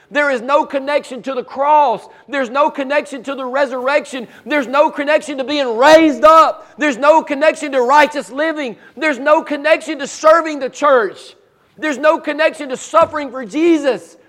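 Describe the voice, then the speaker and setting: Male pastor, high and raspy, fervent, with repeated emphatic lines. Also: moderate pace, fairly bright tone. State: raspy voice from cheering and allergies. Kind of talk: preaching a sermon.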